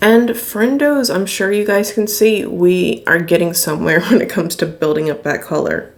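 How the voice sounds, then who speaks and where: A young woman talks casually to camera while doing her makeup, her voice a little raspy from a recent illness. She is candid and chatty, laughing easily. Recorded in a small home studio from a desk camera. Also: fairly low pitch, moderate pace, music in the background. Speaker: beauty vlogger. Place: indoor home studio room.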